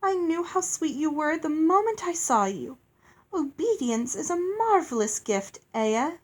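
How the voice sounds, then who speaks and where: Woman acting gushing, high, airy fairy lady. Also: sing-song, measured pace. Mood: blithely gracious. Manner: gushing, doting, grandly gracious. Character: sweet, beautiful, airy female fairy, blithely self-satisfied.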